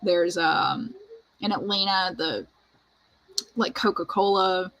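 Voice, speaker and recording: A woman's voice: lowish voice, slow pace, a bit bright, poor audio. A woman hosting a casual writing livestream, talking in a chatty, informal way full of "like". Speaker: livestream host.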